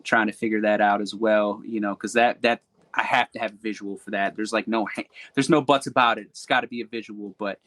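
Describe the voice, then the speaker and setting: A man's voice: fairly low pitch, fairly even intonation, fairly quick, fairly bright tone, dry recording. A man on a podcast as a guest artist, talking in a candid, rambling way with restarts and fillers. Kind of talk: casual interview chat.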